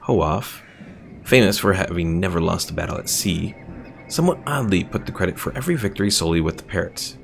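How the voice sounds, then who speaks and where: A man's voice: low voice, expressive, fairly quick, creaky, slight background noise. A man streaming a strategy game, chatting casually, rambling about the lore and reading viewers' messages aloud. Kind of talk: casual gameplay commentary.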